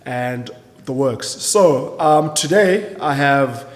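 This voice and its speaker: A man's voice: mid-range voice, expressive, slow. Man hosting a casual interview, reverberant hall. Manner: informal, often repeats "you know". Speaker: podcast host and interviewer.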